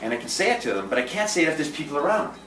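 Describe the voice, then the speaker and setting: A man's voice: fairly high pitch, sing-song, very fast, a bit bright. A man talking in a casual group chat as a dating coach, intense and direct, with lots of restarts. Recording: echoey room from a distance, faint noise.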